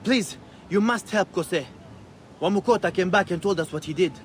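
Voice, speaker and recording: An anxious townsman from a video game, played by a male actor, with a high voice. He pleads urgently over a faint outdoor rumble. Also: normal speed, natural voice. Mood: urgent pleading. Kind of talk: video game cutscene acting.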